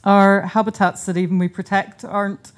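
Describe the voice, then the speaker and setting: A woman giving an earnest, informal conference talk in a hotel conference room, often pausing and restarting her sentences. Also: medium pitch, little pitch movement. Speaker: conference speaker and seed charity founder. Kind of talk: conference presentation.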